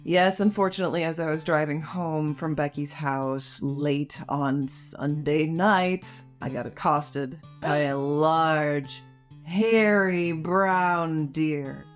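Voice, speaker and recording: A woman's voice: sits low, slow, faint music behind. A woman co-hosting a casual podcast chat, bubbly and wry, often laughing. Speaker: podcast co-host. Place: small carriage house room.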